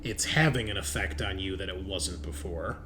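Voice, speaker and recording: A man's voice: low voice, moderate pace. A man acting as game master, narrating and explaining a tabletop game in an improvised, conversational way. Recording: noisy room.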